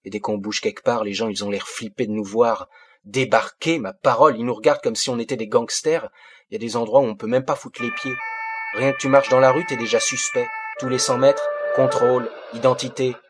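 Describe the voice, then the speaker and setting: A man's voice: mid-range voice, fairly even intonation, brisk, husky, close to an everyday voice. A man reading an audiobook as an angry fifteen-year-old boy from the housing projects, speaking bitter street slang in agitated bursts. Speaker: audiobook reader. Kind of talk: first-person audiobook monologue.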